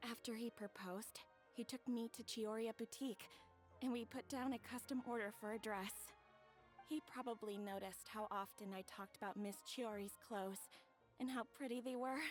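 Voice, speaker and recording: A woman playing a gentle, grateful young bride-to-be who tells her story softly and from the heart. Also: mid-range voice, close to an everyday voice.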